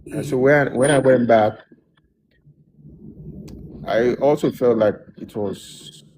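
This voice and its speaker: A man's voice: medium pitch, takes it slowly, background noise. A man, a music producer, telling his story in a casual interview with a lot of restarts. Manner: relaxed, loose storytelling with many restarts.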